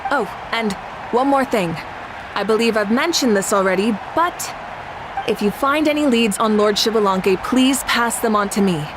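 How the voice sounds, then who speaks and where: A woman's voice: on the high side, lively intonation, moderate pace, background noise, lightly acted. A woman voicing a formal tournament announcer who speaks in a ceremonious, polite way, in game voice acting. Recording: game audio with music.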